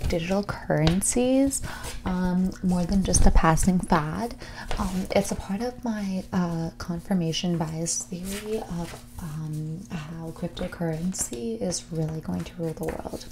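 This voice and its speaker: A woman playing a snobby, dismissive rich young woman in a whispered and soft-spoken ASMR roleplay, condescending and ditzy, saying "like" often. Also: lowish voice, unhurried, noisy background. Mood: smug. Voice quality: breathy whisper mixed with creaky soft speech. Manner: ditzy, condescending, hesitant delivery full of "like".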